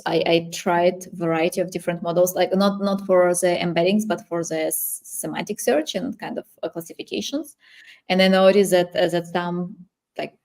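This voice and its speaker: A woman with a Ukrainian accent chatting casually as she hosts a live technical workshop, feeling her way through sentences in halting phrases. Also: lowish voice, a little mellow. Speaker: workshop host.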